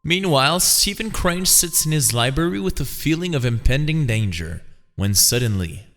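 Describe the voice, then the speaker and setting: A man's voice: fairly high pitch, expressive, normal speed, fairly bright tone, big-room sound. A man narrating a comic-book read-along in a theatrical, emphatic style.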